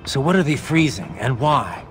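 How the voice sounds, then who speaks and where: Terse gritty cyborg soldier in a video game, low growl, brooding, music behind him. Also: male voice, big pitch swings, normal speed, a bit bright. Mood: tense. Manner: terse, intense. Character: brooding, hard-bitten male cyborg soldier, determined and defiant. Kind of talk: dramatic video game acting.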